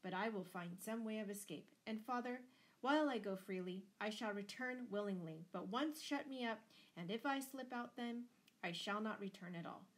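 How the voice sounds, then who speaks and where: A woman's voice: mid-range voice, normal speed, close to an everyday voice. A woman acts a young storybook noblewoman who will not be ruled. She sounds spirited and firm. Her defiance is composed and bold. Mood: calm defiance. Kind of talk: dramatised storybook reading.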